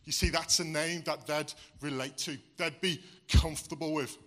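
A man's voice: on the high side, steady tone, moderate pace, a bit bright. A man preaching a sermon, raised in England and Wales, with a Midlands accent touched by a Welsh lilt, talking in a conversational way and often saying "you see". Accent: English Midlands with a slight Welsh lilt.

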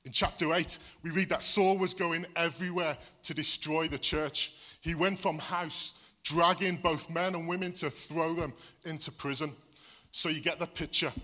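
A man's voice: on the high side, fairly even intonation, normal speed, pushed and bright. A man preaching a sermon, raised in England and Wales, with a Midlands accent touched by a Welsh lilt, talking in a conversational way and often saying "you see". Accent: English Midlands with a slight Welsh lilt.

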